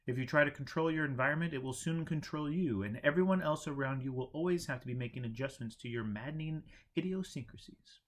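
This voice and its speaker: A man voicing an imperturbable, teasing monk who teaches in deliberate, didactic pronouncements in a storybook. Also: fairly high pitch, voice pushed up, close to an everyday voice. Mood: preachy.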